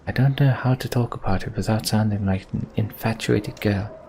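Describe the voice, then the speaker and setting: A man voicing an earnest, devoted sorceress in a fantasy video game, measured and sincere. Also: on the low side, warm tone, slight background noise, natural voice. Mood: earnest, tender. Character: earnest, devoted female mender sorceress, solemn.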